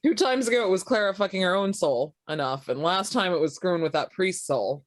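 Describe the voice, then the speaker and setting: A woman's voice: on the low side, lively intonation, brisk, dry recording, clean studio sound. A woman playing a tabletop roleplaying game, talking casually out of character about the story.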